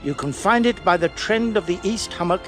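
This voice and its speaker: A man playing a formal gentleman treasure hunter in a dramatised adventure. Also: sits high, lively intonation, moderate pace, over music. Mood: intent. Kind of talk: dramatised audio adventure acting.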